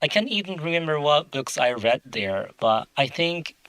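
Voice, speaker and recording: A man from Japan, a native Japanese speaker with a Japanese accent, talking as a guest in a casual interview, halting and searching for words. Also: on the high side, big pitch swings, fairly bright tone, smooth, no echo. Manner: halting, searches for words, many "you know" fillers. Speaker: translator, guest.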